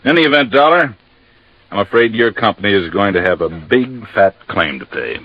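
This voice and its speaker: An American actor playing a matter-of-fact, folksy Coast Guard captain explaining things in a 1950s radio drama. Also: male voice, fairly low pitch, lively intonation, a little fast, dry recording. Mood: chatty. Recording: dull, dry vintage radio recording.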